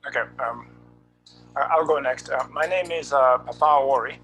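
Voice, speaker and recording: A man with a bright, forceful mid-range voice, speaking over a video call with faint music behind him. He talks in a measured way, with frequent hesitations. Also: medium pitch, rough recording. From Kenya. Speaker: committee member and cyclist. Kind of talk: committee self-introduction.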